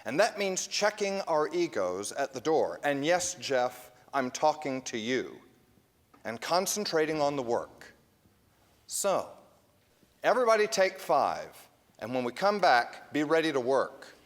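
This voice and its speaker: An earnest drama director giving a firm pep talk. His voice is a bit high and very animated, ringing in a reverberant hall. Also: measured pace. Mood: firm, rallying. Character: earnest director of amateur radio play.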